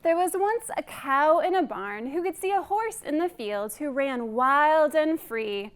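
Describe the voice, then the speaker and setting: A woman hosting a children's storytime, reading aloud in a deliberate, singsong way and putting questions to young listeners. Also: high voice, expressive. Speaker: children's librarian, storytime host.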